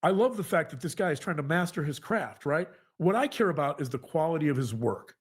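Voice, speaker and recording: A man talking earnestly to a co-host in a halting, repetitive, emphatic conversational monologue. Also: on the high side, animated, slightly rough. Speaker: podcast co-host, psychologist.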